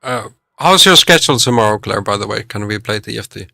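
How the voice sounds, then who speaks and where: Casual gravelly male streamer. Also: on the low side, animated, fairly quick, bright, forceful tone, no echo, clean studio sound. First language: Finnish. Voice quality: chatty, talks over the game. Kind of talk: casual game stream chat.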